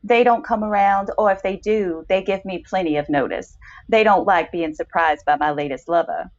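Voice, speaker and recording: A woman's voice: mid-range voice, moderate pace, highs cut off, lightly acted. A woman reading a short story in the role of a mysterious older lover with many personalities, teasing and unbothered. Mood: coolly amused.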